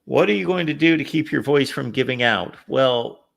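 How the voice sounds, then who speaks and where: A man hosting a live call-in sports show, a little raspy from no sleep, chatting through viewer comments and game analysis. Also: mid-range voice, moderate pace. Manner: reads viewer comments aloud, conversational analysis. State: slightly raspy from lack of sleep. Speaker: host.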